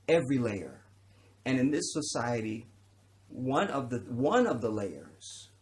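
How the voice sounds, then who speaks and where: A man's voice: mid-range voice, a little mellow. A man born in Chicago and raised around the country, giving an emphatic, profane livestream monologue and often checking in with his listeners. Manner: preachy, emphatic, profane, keeps asking "you understand?". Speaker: livestream host.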